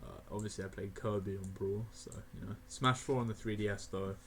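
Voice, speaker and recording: An eighteen-year-old man rambling casually through a game tier list, laughing often and filling pauses with ums and uhs. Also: fairly low pitch, steady tone, a little hiss or hum. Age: young adult (18). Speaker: gaming video creator.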